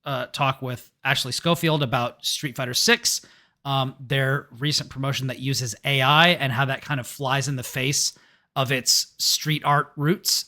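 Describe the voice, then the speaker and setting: A tired man hosting a casual podcast, joking and chatting in a relaxed way. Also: medium pitch. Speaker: podcast host.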